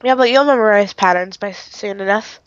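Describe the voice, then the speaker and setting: A woman's voice: mid-range voice, fairly quick. A woman chatting casually as a friend playing along, picked up in a dull, off-mic recording. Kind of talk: casual chat. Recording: dull, roomy, off-mic pickup.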